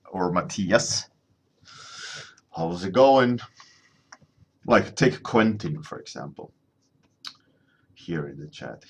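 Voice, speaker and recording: Swedish guy in his 30s, deep voice, unhurried, chats and rambles while painting on stream. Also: fairly bright tone, slightly rough. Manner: rambling, restarts sentences, laughs often. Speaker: livestreaming digital painter. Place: home studio at a desk.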